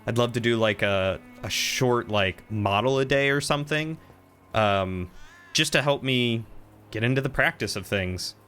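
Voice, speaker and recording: Casting: male streamer, thirties, bright mid-pitched voice, casual gaming chat. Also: measured pace. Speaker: game streamer.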